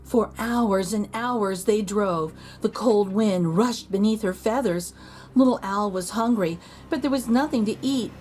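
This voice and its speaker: A woman reading a children's picture book aloud in an expressive storytelling manner. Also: soft background music, poor audio. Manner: slow, expressive storytelling with lively pitch.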